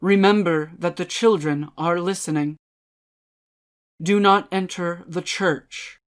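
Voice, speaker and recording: A woman giving a scripted spooky dramatic reading, ominous and deliberate, with measured dramatic pauses. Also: lowish voice. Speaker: dramatic reader.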